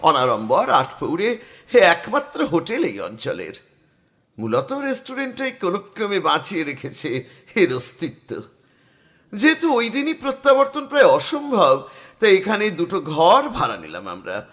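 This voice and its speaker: A man voicing a lively young storyteller. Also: high-pitched, normal speed, exaggerated voice. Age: young adult. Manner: expressive, storytelling, literary. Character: cheerful young male art student narrating. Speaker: narrator and art student. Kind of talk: dramatic audio-drama narration.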